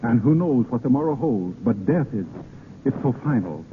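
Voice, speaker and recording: A man's voice: medium pitch, animated, moderate pace, natural voice. An actor playing a bewildered, roguish poet-thief in vintage radio drama style, impassioned and faltering in his delivery. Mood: pleading. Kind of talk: 1940s radio acting. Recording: tinny, hissy old radio broadcast.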